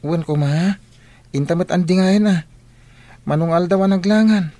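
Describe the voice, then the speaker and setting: A man's voice: high voice, moderate pace, mellow, no room sound, natural voice. A man playing a frank, exclamatory and encouraging school friend in an Ilocano radio drama. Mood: brisk, teasing.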